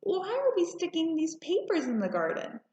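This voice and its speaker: A woman voicing an excitable, doubtful little girl in a children's storybook, with an eager, whiny way of asking. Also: extremely high pitch, moderate pace, voice pushed up, exaggerated voice. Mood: curious. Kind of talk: children's storybook read-aloud. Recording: roomy, slightly muffled home recording.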